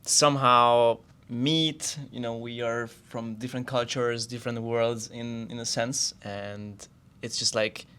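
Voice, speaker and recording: A man from Hungary with a smooth, resonant voice at a middle pitch. He talks in an enthusiastic, open way during a casual podcast chat. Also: flat delivery, unhurried, hardly any pauses, pushed and bright. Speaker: podcast co-host.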